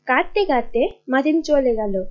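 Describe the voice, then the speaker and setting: A woman narrator reading a scripted animated tale in storytelling style. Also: sits high, normal speed, slight background noise. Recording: dull lo-fi audio.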